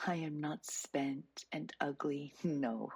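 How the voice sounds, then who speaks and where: Slow, gravelly, whispery older woman reciting. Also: low voice, mellow. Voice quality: breathy, raspy whispery voice. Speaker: poet. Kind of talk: dramatic poetry recitation.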